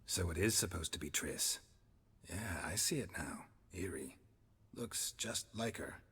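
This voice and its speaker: An actor playing a terse, world-weary monster hunter in a video game, with a gravelly, breathy voice and clipped deadpan delivery. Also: male voice, low voice, measured pace, long reverb tail, full character voice. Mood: musing. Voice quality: very husky, gravelly near-whisper. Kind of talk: understated video game acting.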